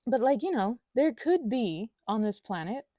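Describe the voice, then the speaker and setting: A woman's voice: on the high side, very animated, moderate pace, no room sound, dull audio. A woman giving a casual, rambling monologue, whimsical and self-deprecating as her thoughts wander. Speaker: audio creator rambling to listeners.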